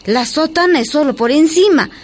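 An actress playing a caring, resigned peasant mother in a radio drama, with an Ecuadorian highland accent. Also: moderate pace, a little hiss or hum, lightly acted.

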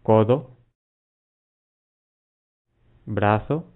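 Male meditation guide, lowish pitch, very slow delivery with long pauses between short instructions, body scan style. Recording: muffled, phone-like narrow band.

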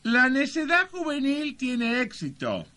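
An eccentric old-style oracle master for a radio comedy. The voice is extremely high and lively in pitch, with slow, halting, courteous delivery. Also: male voice, full character voice. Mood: solemn, sage. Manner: halting, repetitive, formally polite. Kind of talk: comic radio sketch.

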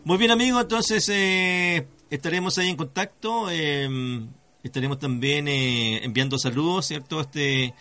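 A man's voice: a little high, a little slow, sharp tone. A man with a Chilean accent hosts a conversational radio interview, hesitating often and tagging phrases with checking questions. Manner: hesitant, often adds "cierto" tags. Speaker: radio host.

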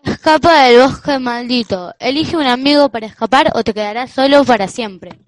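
A woman's voice: high-pitched, moderate pace, no room sound, lightly acted. A woman acting a bossy schoolboy bully who announces cruel games like a game-show host in a school radio play. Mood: taunting. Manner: announcing, taunting.

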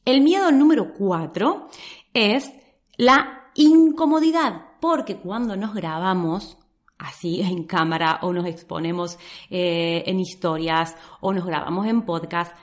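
A woman's voice: mid-range voice, lively intonation, unhurried. A woman from Córdoba, Argentina, with a Córdoba Argentine accent mixed with some Spain Spanish words, giving direct, encouraging advice straight to camera in a vlog. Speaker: social media coach.

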